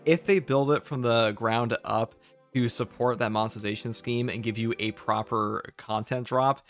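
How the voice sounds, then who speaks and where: A man who makes gaming videos, casually discussing a video game and explaining his opinions in a measured way. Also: mid-range voice, steady tone, soft background music. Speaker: gaming YouTuber.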